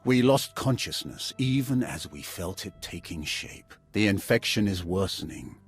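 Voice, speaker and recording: Grave, husky old Jedi veteran. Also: male voice, low-pitched, lively intonation, exaggerated voice. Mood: grave, solemn. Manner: solemn, measured, weighty. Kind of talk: dramatic game cutscene acting. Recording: background music under the voice.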